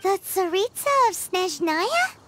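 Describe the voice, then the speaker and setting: A tiny, bratty fairy companion from a video game. The voice is extremely high and childlike, unhurried but swinging wildly in pitch. It is excitable and cheeky, squeaking out its exclamations. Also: female voice, music in the background, exaggerated voice. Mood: curious. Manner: bratty, exclamatory, speaks of self in third person. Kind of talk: video game character acting.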